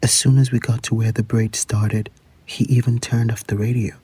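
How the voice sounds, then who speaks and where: A man's voice: medium pitch, flat delivery, normal speed, mellow, slightly rough, no room sound, natural voice. An actor playing a man looking back on a secret teenage adventure in a reflective audio drama monologue. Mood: reflective, hushed.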